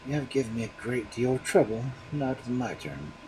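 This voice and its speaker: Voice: mid-range voice, sing-song, a little fast, background noise, natural voice. A storyteller voicing a fussy husband who complains about the water he is given, in a raised voice. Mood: weary.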